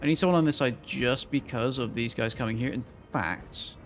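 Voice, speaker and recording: Croaky-voiced man streaming a strategy game. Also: mid-range voice, normal speed, soft background music. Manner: thinking aloud while planning moves. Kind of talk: casual gameplay commentary to chat.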